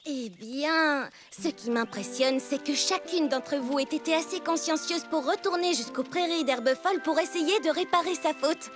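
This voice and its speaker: A woman voicing a kind, encouraging young girl who leads her friends, earnest and expressive, in a French-dubbed children's cartoon. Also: high voice, normal speed, hardly any pauses, over music, natural voice. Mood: earnest, thoughtful.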